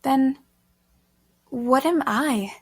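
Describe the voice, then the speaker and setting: A woman's voice: high-pitched, extremely slow, husky, heavily acted. An actress playing a frightened, bewildered young woman on the run in an audio drama, speaking in an earnest, hesitant, pleading way. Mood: hesitant, confused.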